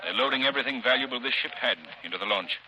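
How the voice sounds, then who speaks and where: An actor playing a steady, shrewd gentleman crime-fighter in a 1930s radio drama, measured and resolute. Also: mid-range voice, crowd noise, lightly acted. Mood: cautious, steady. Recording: noisy, hissy 1930s radio recording.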